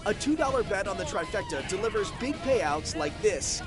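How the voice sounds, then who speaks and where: A man's voice: sits high, sing-song, normal speed, loud music behind the voice. A man speaking in a scripted commercial in an upbeat, friendly way. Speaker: ad spokesman.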